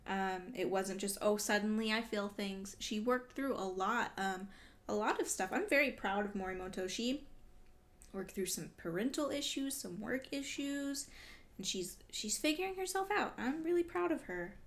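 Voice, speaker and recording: A woman's voice: medium pitch, expressive, moderate pace. A woman doing a casual read-along reaction as a vlogger, talking in an exclamatory, emotionally invested way. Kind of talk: casual reaction commentary while reading.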